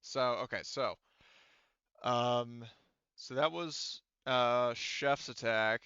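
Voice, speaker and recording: Bright, clear-voiced male game master. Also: mid-range voice, very slow, no room sound, dull audio. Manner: casual, profane, talking through dice rules. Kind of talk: running a tabletop roleplaying game.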